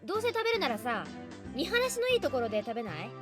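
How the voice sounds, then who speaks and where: A woman's voice: sits high, big pitch swings, racing. A woman playing a confident, teasing tomboyish teenage girl in a visual novel, with a breezy, cocky way of talking. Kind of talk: Japanese visual novel voice acting. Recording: game music behind the voice.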